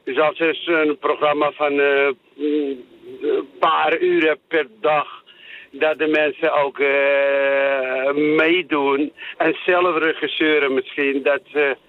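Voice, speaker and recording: Higher-voiced man on a phone line. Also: flat delivery, no echo. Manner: halting, searching for words. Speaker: caller to a radio show. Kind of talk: casual phone-in opinion. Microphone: telephone. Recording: narrow tinny phone line.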